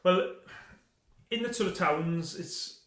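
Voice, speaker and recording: Man, Welsh accent, podcast guest, rapid storytelling, higher voice, roomy echo. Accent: Welsh, Swansea. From Wales. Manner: rapid, lively storytelling with restarts. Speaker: podcast guest, documentary maker. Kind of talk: casual chat. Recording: echoey room.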